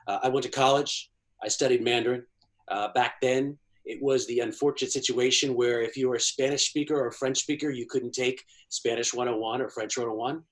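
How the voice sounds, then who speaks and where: A man's voice: mid-range voice, steady tone, fairly quick. Man explaining at length, fluent and emphatic. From Washington, D.C., USA. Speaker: guest, China economy analyst. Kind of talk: interview answers.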